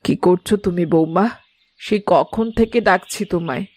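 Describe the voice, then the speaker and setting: A woman voicing an exasperated middle-aged village mother in an animated cartoon, nagging with sharp-tongued emphasis. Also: on the low side, moderate pace, lightly acted. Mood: impatient.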